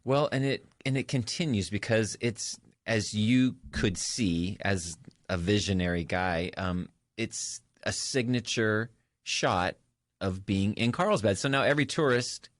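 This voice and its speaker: Low-voiced male podcast interviewer. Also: measured pace, no room sound. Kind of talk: conversational interview.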